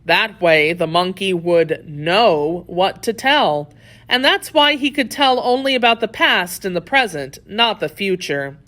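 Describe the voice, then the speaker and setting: Slow, animated woman storyteller. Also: medium pitch. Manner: expressive, deliberate storytelling. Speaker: storybook narrator. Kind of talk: scripted children's story narration.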